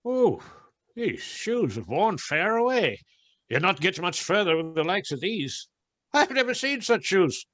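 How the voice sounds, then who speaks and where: A man playing a gruff, money-minded village blacksmith with blunt rustic speech in a radio play. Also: high voice, very animated, measured pace, fairly bright tone, no echo, full character voice. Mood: gruff, wry.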